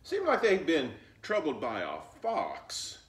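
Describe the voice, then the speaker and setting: A man acts out a knowing old grandmother from the in a children's storybook. The voice is high and animated, bossy and folksy, set back in a roomy space. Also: takes it slowly, exaggerated voice. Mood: grumbling. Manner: folksy, emphatic, cautioning. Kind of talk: children's storybook read-aloud. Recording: roomy echo, distant mic.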